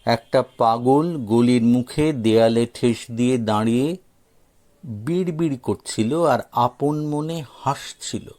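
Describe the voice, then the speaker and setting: A man's voice: medium pitch, takes it slowly, a little mellow. A man reading a scripted story expressively as an audio story narrator.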